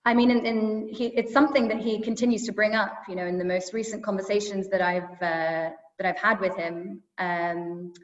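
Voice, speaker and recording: A woman journalist speaking on a video call, thoughtful and halting, with many fillers and restarts. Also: mid-range voice, fairly even intonation. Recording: echoey, roomy, dull video call audio.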